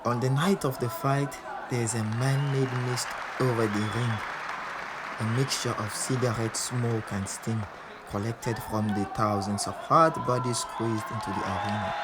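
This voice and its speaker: A proud, defiant boxer, played by a man, tells his story as audio drama narration. The voice sits in a middle-to-low male register, with music playing clearly behind it. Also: steady tone, a little slow, over a noisy crowd, lightly acted, rough recording. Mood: proud, intense. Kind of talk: dramatic first-person storytelling.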